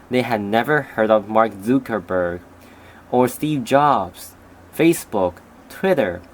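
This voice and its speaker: A man's voice: mid-range voice, slight background noise, poor audio. Young man reciting a speech. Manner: steady recited delivery with a few slips. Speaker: student giving a speech recitation. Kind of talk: reading a speech aloud.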